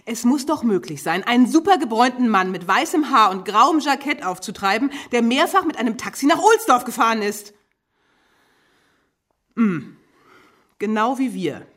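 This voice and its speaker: An actress playing a driven, exasperated police investigator in a radio drama, brusque and colloquial. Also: female voice, a little high, big pitch swings, normal speed, fairly bright tone. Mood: frustrated, insistent.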